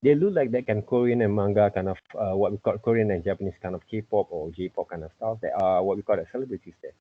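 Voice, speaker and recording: A middle-aged man with a husky voice teaching an informal online lesson, repeatedly checking with "okay" and "right". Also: fairly low pitch, fast talker. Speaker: teacher, art and character design tutor. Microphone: computer mic on a video call. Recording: muffled video-call audio.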